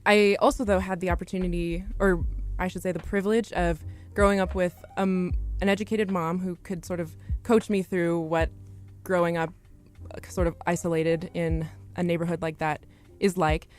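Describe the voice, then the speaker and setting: Lowish-voiced young woman telling her story. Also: level tone, normal speed, faint music behind. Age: young adult. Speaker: student podcast guest. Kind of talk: personal storytelling in conversation.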